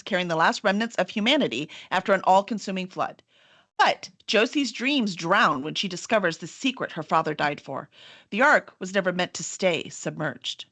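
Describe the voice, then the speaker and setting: Casting: female host, medium pitch, chatty and expressive, informal publisher-presenter style. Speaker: publisher hosting the event. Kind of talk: casual hosting and interviewing, with read-aloud book copy.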